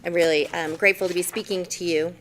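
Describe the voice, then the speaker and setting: A woman's voice: lowish voice, steady tone, quick pace, a bit bright, slight background noise, low-quality recording. Earnest woman presenting to city council. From Idaho, USA. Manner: earnest, with frequent ums and self-corrections. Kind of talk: formal public presentation.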